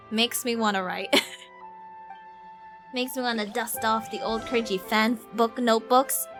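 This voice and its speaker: A woman streamer talks casually over her game. Her voice is somewhat high, with a playful, expressive delivery. Faint game music runs behind her. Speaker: live streamer.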